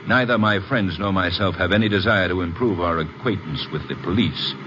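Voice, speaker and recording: An actor playing a courteous but coldly menacing crime boss in an old radio detective drama, speaking formally and with measured threat. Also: male voice, sits low, natural voice. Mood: polite menace. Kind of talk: 1940s-50s radio detective acting. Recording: muffled, noisy old radio recording.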